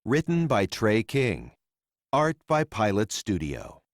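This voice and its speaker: Guy narrating a read along book for little kids, takes his time, really expressive pitch, dry close sound. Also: no echo. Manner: simple, deliberate storytelling for young readers. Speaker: storybook narrator.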